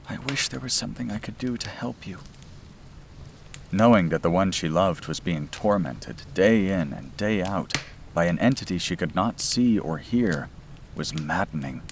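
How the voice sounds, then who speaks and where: A man reading a scripted bedtime story as its narrator. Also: deep voice. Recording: clear background noise and ambience.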